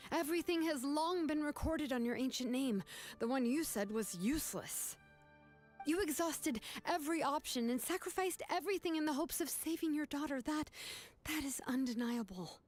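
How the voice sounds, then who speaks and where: A woman voicing a calm, firm young woman who confronts a grieving aunt in earnest, measured speech. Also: high-pitched, soft background music.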